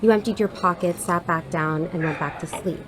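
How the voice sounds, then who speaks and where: A woman's voice: lowish voice, a little fast, noisy background, natural voice. A woman playing a helpful, patient young aspiring actor in a naturalistic audio drama, reassuring and lightly wry.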